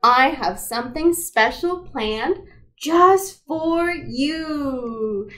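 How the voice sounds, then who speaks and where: Storybook little girl, read by a woman: affectionate, cheerful, expressive, high voice, slow clear phrasing for toddlers. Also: heavily acted. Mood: gentle excitement. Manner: animated, expressive read-aloud delivery.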